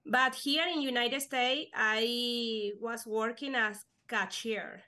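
A young woman whose first language is Spanish, talking earnestly in halting English with fillers as an interview guest. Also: on the high side, fairly even intonation, sharp tone. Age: young adult. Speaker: guest, life insurance agent. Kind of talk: casual interview chat.

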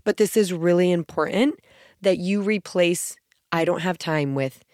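A woman's voice: on the low side, a little slow, a little mellow, no room sound, clean studio sound. Stuffy-nosed, scratchy-voiced woman podcaster. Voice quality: scratchy, gruff. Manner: earnest, encouraging. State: stuffy nose from a cold. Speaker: podcast host. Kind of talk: motivational podcast talk.

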